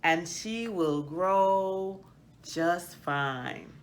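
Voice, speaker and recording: Woman as a solemn, slow-talking protective brother tree. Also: lowish voice, a little hiss or hum. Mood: gentle, accepting. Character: protective, devoted brother trees, solemn and loving.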